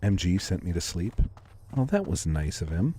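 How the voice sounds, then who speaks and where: Calm, low, husky-voiced man streaming. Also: normal speed. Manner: laid-back, calm, chatty with viewers. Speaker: livestreamer playing a game. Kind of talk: casual live-stream chat reading comments.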